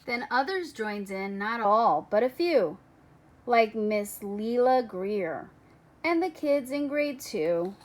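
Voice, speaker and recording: A woman reading a children's picture book aloud in a deliberate, expressive storytelling manner. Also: on the high side, poor audio. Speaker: storybook reader.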